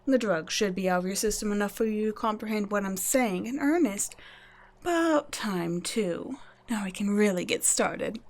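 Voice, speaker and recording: A woman's voice: medium pitch, moderate pace, lightly acted. A woman voicing a cool, condescending female vampire scientist in an ASMR audio roleplay, speaking in a teasing, patronizing way and slipping into whispers. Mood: mocking. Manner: teasing, patronizing, soft-spoken with whispered asides.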